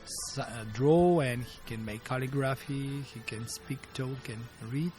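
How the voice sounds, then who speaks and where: A man in his fifties from Bulgaria, speaking English with a Bulgarian accent as a martial arts teacher being interviewed, pausing often to search for words. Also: medium pitch, a little mellow, soft background music. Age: 50s. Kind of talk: interview storytelling.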